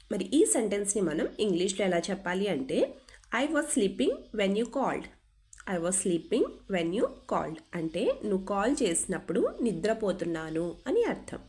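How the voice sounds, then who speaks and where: A young woman with a Telugu accent leads an English lesson at a mid-range pitch. She reads each example sentence slowly and deliberately, spelling out every word, then explains its meaning. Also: darkish tone. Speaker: English teacher. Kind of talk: language lesson narration.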